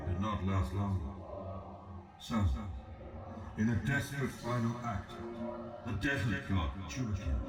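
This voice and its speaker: An actor playing an ancient exiled god-emperor in a video game, cold, calculating and philosophical, speaking in a measured, solemn, formal way. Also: male voice, lowish voice. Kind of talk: scripted video game character dialogue. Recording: roomy distant reverb with background music.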